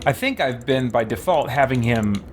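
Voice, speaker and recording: A man's voice: mid-range voice, expressive, normal speed, noisy background. A man doing casual live-stream gameplay commentary, thinking aloud in an easygoing, chatty way. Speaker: game streamer, host.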